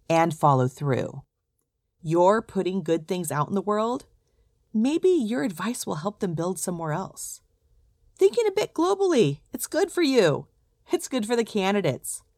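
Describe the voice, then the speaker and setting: A woman's voice: mid-range voice, dry recording. A woman hosting a solo podcast, telling stories in a direct, conversational way from a script. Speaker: podcast host, recruiter.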